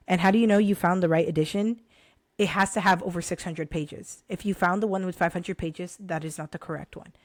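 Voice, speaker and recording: Young woman, hoarse, casual vlog talk, animated, addresses viewers directly, dry close sound. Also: lowish voice, dry recording. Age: young adult. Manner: animated, addresses viewers as guys, many restarts. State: hoarse, recovering from illness. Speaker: vlogger recommending books.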